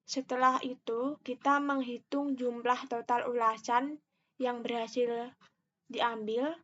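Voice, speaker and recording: A young woman speaks in a fairly high voice through a slightly muffled, lo-fi microphone. She presents like a student walking through a tutorial, explaining each step carefully and very slowly, with some hesitation. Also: flat delivery, fairly bright tone. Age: young adult. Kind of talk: step-by-step screen tutorial narration.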